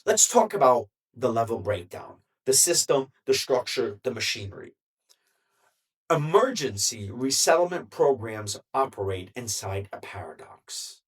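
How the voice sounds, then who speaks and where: A man giving solo opinion commentary in a measured, deliberate way, with emphatic pauses between phrases. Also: mid-range voice, very animated, husky, poor audio. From United States. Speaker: commentary vlogger.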